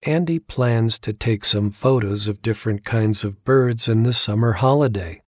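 A man reading listening-test items slowly and deliberately. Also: fairly low pitch, no echo, studio quality. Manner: slow, measured, deliberate reading. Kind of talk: scripted exam narration. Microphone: close studio mic.

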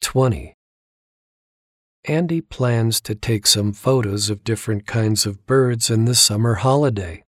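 A man reading listening-test items slowly and deliberately. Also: on the low side, no echo. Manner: slow, measured, deliberate reading. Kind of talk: scripted exam narration. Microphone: close studio mic.

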